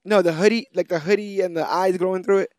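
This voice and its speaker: A man co-hosting a podcast, chatting casually, telling things in an animated way and speaking straight to listeners. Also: high voice, quick pace. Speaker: podcast co-host. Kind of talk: casual chat, comic book recap.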